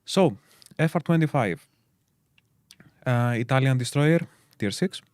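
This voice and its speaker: A man's voice: mid-range voice, animated, a little slow, a little mellow, no echo. A man from Greece with a Greek accent, co-hosting a game stream and chatting casually with lots of fillers as he explains game details. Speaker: stream co-host.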